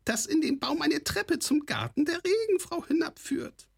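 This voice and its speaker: A man's voice: extremely high pitch, sing-song, moderate pace, vocal fry, pitched above the performer's own voice, exaggerated voice. A man voicing a sly, spiteful little male goblin with a squawking, nasal voice, mocking as he talks. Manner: mocking, gloating. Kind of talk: audiobook character voice.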